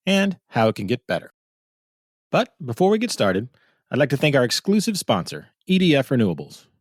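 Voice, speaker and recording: Male podcast host, mid-pitched, upbeat and conversational, dry close-miked sound. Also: dry recording. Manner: upbeat, scripted intro then conversational questions. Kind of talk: podcast interview hosting.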